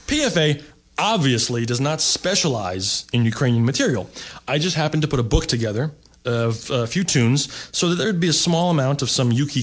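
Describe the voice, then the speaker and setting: Middle-aged american guy doing standup at a table, wry rambling storyteller, lots of uhs. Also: mid-range voice. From United States. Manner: wry, rambling with fillers, mock-snobbish asides. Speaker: stand-up comedian. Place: seated at a table, indoor stage.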